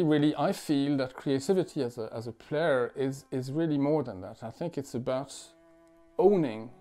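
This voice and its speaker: A man in his forties from France, a musician talking thoughtfully at home, with many pauses, fillers and restarts. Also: mid-range voice, warm tone. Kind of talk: reflective interview talk. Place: living room at home.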